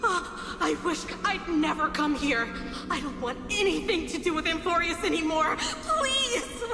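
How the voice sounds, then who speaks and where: Hysterical, very high-pitched crazed woman. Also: expressive, exaggerated voice. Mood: hysterical terror. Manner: hysterical, halting, sobbing and giggling between words. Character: crazed, terrified female memo snatcher, broken and laughing. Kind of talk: dramatic video game acting. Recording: echoey hall reverb, music behind.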